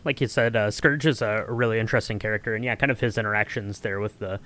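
A man hosting a casual podcast discussion, thinking aloud in a halting way. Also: on the low side, steady tone, bright, forceful tone. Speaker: podcast host. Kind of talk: casual discussion, informal chat.

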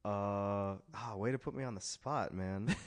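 A man's voice: fairly low pitch, unhurried. A laid-back man trading teasing banter in a casual late-night chat in a garage. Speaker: podcast guest. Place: home garage.

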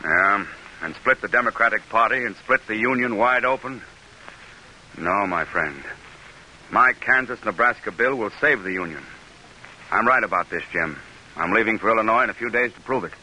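A man's voice: fairly low pitch, normal speed, bright, forceful tone, slight background noise, rough recording. A man playing a proud, resolute American senator in old radio drama style, speaking in formal, emphatic oratory with an American accent. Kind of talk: 1940s radio drama acting.

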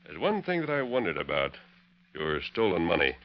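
An actor playing a calm masked western hero, measured and authoritative, in an old-time radio drama. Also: male voice, low voice, heavily acted. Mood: calm, accusing. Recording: dull vintage radio recording.

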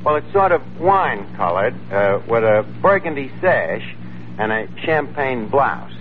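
An actor playing a brash, slangy, word-mangling tavern manager with a New York accent in 1940s radio comedy. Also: male voice, mid-range voice, sing-song, a little slow. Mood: confident. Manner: slangy, mangles words and grammar. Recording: tinny, noisy old radio recording.

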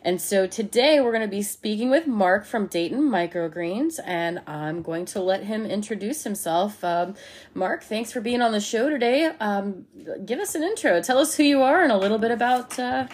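A woman's voice: mid-range voice, expressive, normal speed, a little mellow. A woman hosting a podcast, giving an upbeat, friendly welcome and introducing her guest. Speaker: podcast host. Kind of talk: scripted show intro and interview questions.

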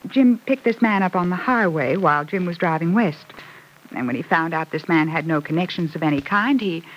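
An actress playing a frightened, guilt-stricken wife in a 1940s radio soap opera, speaking in an earnest, dramatic way. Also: female voice, lowish voice, fairly quick, close to an everyday voice, low-quality recording. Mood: anxious, explaining. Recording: old radio broadcast recording.

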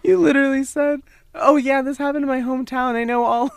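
A woman's voice: sits high, fairly even intonation, a little fast, creaky, no room sound. A young American woman co-hosting a podcast in casual chat, with teasing, self-mocking banter. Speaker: podcast co-host.

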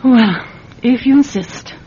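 A woman's voice: on the high side, slow, mellow. An actress playing an anxious, earnest immigrant mother in an old-time radio drama, wary and pleading. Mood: polite. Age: adult. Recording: dull, noisy old radio recording.

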